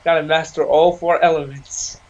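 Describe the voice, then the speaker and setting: A young man whose first language is Arabic, chatting casually on a podcast. His voice sits a little higher than most men's and he rambles, tossing in "you know" all the time. The recording is dull and muffled. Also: flat delivery, normal speed, a little mellow. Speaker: podcast co-host. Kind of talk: casual chat.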